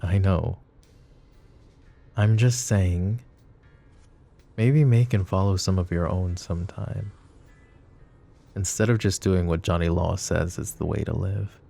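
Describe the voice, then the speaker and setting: Mafia boss, played by a male actor: very low, dark, coaxing and possessive, for intimate ASMR roleplay. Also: full character voice. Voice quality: low, gravelly murmur. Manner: deliberate, coaxing, philosophizing.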